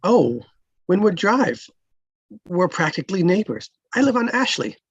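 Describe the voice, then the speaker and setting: A friendly traffic cop with a gravelly, rough-edged voice , played by a man at a screenplay table read. He is a little awkward and flirty, earnest with dry humor, and the sound is close and dry. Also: high-pitched, big pitch swings, measured pace, dry recording, highs cut off, pitched above the performer's own voice, exaggerated voice. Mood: curious, smitten.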